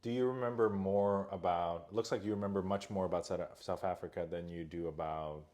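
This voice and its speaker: A man's voice: low voice, fairly quick, mellow. A man hosting an interview, asking questions in a loose conversational way. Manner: conversational, hedging with "like" and "um". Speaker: interview host. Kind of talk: casual interview chat.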